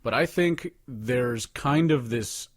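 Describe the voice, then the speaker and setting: A man's voice: medium pitch, slow pace, no echo, clean studio sound. A man co-hosting a podcast in casual conversation, opinionated and informal, swearing freely. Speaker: podcast co-host. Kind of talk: casual chat.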